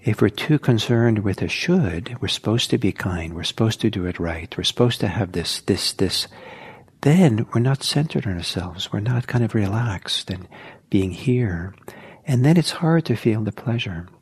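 A man teaching meditation in a soft, slow, halting way, with many pauses. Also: lowish voice, expressive, husky. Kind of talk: guided meditation talk.

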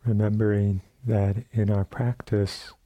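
Male meditation teacher, low-pitched and very unhurried, gentle delivery in short phrases with generous silences. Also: flat delivery, lo-fi sound. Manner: gentle, sparse phrases with long pauses. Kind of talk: guided meditation. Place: meditation center hall.

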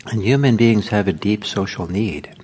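An older man, originally from Norway and a native Norwegian speaker, giving an informal meditation talk in a gentle, unhurried way with many pauses. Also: on the low side, breathy edge. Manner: gentle, hesitant, with frequent pauses and fillers. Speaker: meditation teacher. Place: meditation hall.

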